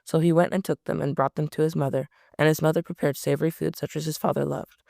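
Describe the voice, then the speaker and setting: A man reading scripture aloud at a steady pace, often stumbling over words and correcting himself. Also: a little high, fast, creaky, no room sound, clean studio sound. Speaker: Bible reader.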